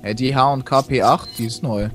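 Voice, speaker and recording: A man's voice: mid-range voice, fairly even intonation, a little fast, fairly bright tone. A young man casually chatting and joking while playing a co-op game. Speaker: gamer. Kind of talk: casual co-op let's play chat. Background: game audio and music.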